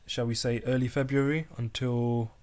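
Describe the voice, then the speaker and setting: Man, calm easygoing voice, casual co-host, lots of ums. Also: mid-range voice, normal speed. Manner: calm, relaxed, hesitant with fillers. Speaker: podcast co-host. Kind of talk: casual advice chat.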